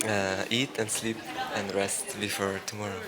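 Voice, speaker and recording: A man's voice: on the low side, little pitch movement, moderate pace, over music. A young man with a Polish accent giving brief, hesitant answers in a casual interview. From Poland. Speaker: competition finalist being interviewed. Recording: interview amid crowd noise. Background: crowd chatter.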